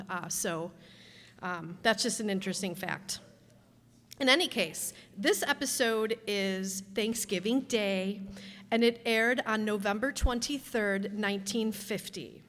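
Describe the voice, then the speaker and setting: A woman hosting a live show, introducing it to an audience in a chatty, unhurried way. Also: mid-range voice, a bit bright. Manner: chatty, unhurried, friendly asides. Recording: long hall reverb.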